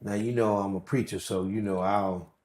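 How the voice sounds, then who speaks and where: A man's voice: lowish voice, fairly even intonation, moderate pace, a crowd in the background. A man, a pastor, conducting a conversational church interview, putting questions in short phrases and echoing what his guest says. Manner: echoes and repeats the guest's words.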